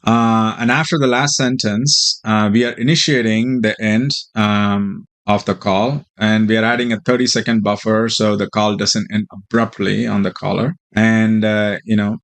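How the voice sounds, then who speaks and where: Man, lowish voice, informal tutorial, software demo presenter, hesitant halting delivery. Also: little pitch movement.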